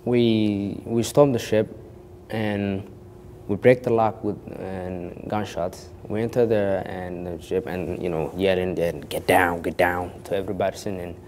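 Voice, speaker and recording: A man's voice: low voice, fairly even intonation, measured pace, a little mellow, big-room sound, a little hiss or hum. A young man born in Kenya of a Somali family, telling his story in an interview with lots of restarts and "you know". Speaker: actor interviewed.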